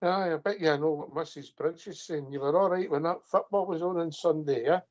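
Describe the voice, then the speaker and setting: Jokey radio host, muffled line. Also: male voice, fairly high pitch, brisk, darkish tone. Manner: jokey, teasing, laughs often. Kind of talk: casual phone-in chat.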